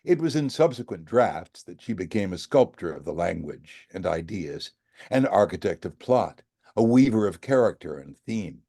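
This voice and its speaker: An older American man with a very low, gravelly voice reads a novel aloud. His tone is dark and mellow, and he phrases each line with measured, dramatic emphasis like an audiobook narrator. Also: big pitch swings. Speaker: voice actor and storyteller reading aloud. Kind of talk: expressive audiobook-style literary narration.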